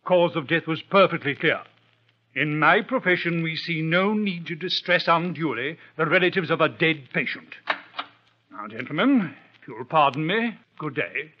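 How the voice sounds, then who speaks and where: An actor playing a pompous, self-assured country doctor with a formal, dismissive manner in a radio drama. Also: male voice, fairly high pitch, animated, normal speed, a little hiss or hum, natural voice. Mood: firm, huffy. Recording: dull old radio recording.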